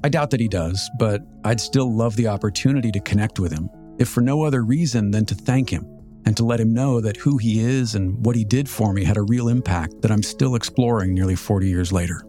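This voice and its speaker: A man in his fifties with an American accent, telling a scripted personal story as a podcast host in a measured, reflective, articulate way. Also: on the low side, dark tone. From Southern California, United States. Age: 50s. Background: music.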